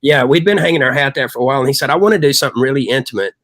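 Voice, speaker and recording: A middle-aged man talks in a chatty, long-winded storytelling style. His voice sits at an average pitch for a man. The sound is dry, with almost no room in it. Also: racing, a bit bright, no room sound. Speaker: rock singer, guest. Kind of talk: casual anecdotal storytelling.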